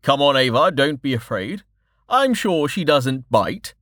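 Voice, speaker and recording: A man's voice: medium pitch, sing-song, a little slow, fairly bright tone, dry recording, clean studio sound, pitched above the performer's own voice. A man voicing a cheerful, wily merchant father in an audiobook, fond but firm, with a coaxing showman's manner. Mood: amused, smooth.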